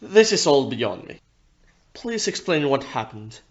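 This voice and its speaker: A man's voice: medium pitch, very animated, measured pace. A man playing a baffled, blunt ship's captain in an audio play. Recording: muffled, dull lo-fi recording.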